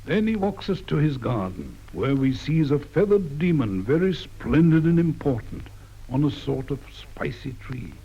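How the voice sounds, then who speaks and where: A man recites his own ballad with a rolling, sing-song rhythm, his pitch rising and falling freely. His voice is gravelly and a little husky, mellow rather than bright. The sound is dull and lo-fi, as if transferred from an old tape. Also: very animated, a little slow. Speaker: poet reading his own work. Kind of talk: poetry recitation.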